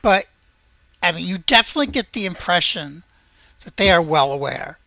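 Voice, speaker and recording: A woman game master with a lowish, very bright voice talks players through a tabletop game. She narrates in a conversational, easygoing way. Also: animated, moderate pace, gravelly, dry recording, rough recording.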